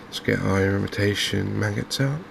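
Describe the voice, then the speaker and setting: Soft spoken gamer guy, deep gravelly voice, slow musing asmr commentary, noticeable noise behind him. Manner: unhurried, hesitant, musing to himself. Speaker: gaming video host.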